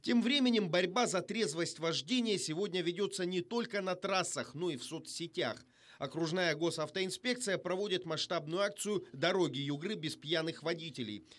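A man narrates a television news report in a fairly high voice. His delivery is scripted and polished, with a wry, ironic edge. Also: expressive, moderate pace, fairly bright tone, a little hiss or hum.

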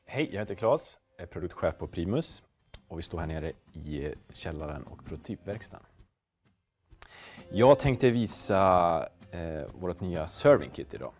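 A young man presenting a product demonstration in a halting, hesitant way. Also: deep voice, expressive, a little mellow. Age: young adult. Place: basement prototype workshop, indoors. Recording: dull, hissy workshop recording with clicks.

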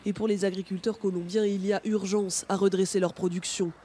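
A woman narrating a TV news report as scripted voice-over, with a measured, even delivery. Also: mid-range voice, a little hiss or hum.

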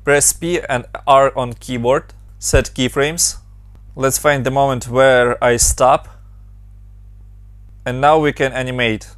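A young adult man from Ukraine with a Ukrainian accent, giving brisk step-by-step narration for a software tutorial. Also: medium pitch, steady tone, no room sound, clean studio sound. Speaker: motion design tutorial host.

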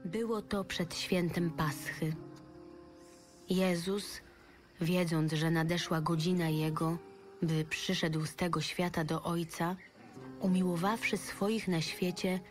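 A woman narrating scripture from a script in a solemn, deliberate manner. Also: lowish voice, fairly even intonation. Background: music.

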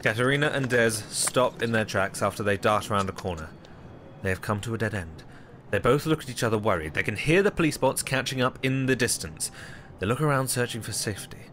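A man with a fairly low voice narrates an audio drama from a script. There is faint noise and ambience behind him. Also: lively intonation, fairly quick, a bit bright.

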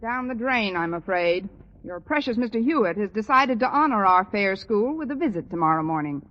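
An actress playing a wisecracking American schoolteacher with dry, arch delivery in a 1940s radio sitcom. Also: female voice, medium pitch, animated, brisk, long reverb tail, dull audio, close to an everyday voice. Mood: wry, resigned. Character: wry, wisecracking adult woman high school teacher. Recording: noisy band-limited 1940s radio recording.

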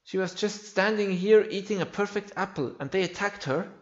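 A man who is not a native English speaker reads video game dialogue aloud in a theatrical, slightly halting way. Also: high-pitched, muffled recording. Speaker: game playthrough creator voicing all characters.

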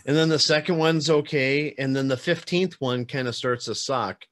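A man's voice: medium pitch, normal speed, a bit bright. Informal, self-deprecating male podcast host. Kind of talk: casual interview chat. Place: small soundproofed home room. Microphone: studio microphone with pop filter, close.

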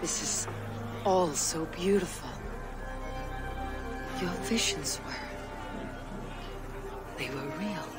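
A performer playing an earnest young Viking warrior in a video game cutscene, halting, intense and full of wonder. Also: mid-range voice, natural voice. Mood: amazed. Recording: loud music under dialogue.

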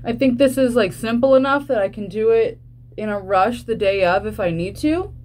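Chatty young woman vlogger, higher-pitched voice. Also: normal speed, a little mellow, a little hiss or hum. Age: young adult. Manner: informal, rambling. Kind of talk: casual chat to camera. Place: small room at home, indoors.

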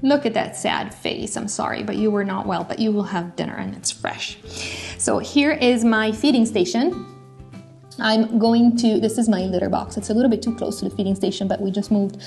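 A woman's voice: mid-range voice, moderate pace, gravelly. Chatty woman in an echoey kitchen. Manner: chatty and relaxed, with filler words and frequent self-corrections. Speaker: pet care vlogger. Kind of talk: casual how-to talk to camera. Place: home kitchen, indoors. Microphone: camera on tripod at a distance. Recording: echoey kitchen with faint music.

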